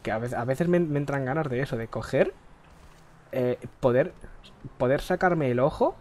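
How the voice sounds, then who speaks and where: A man from Spain chatting while he plays, rambling and crude, keeps clearing his throat, speaking into a headset mic. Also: mid-range voice, lively intonation, moderate pace, a little mellow, slight background noise. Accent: Spain Spanish. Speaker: gaming streamer. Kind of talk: casual gameplay chat. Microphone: headset mic close to the face.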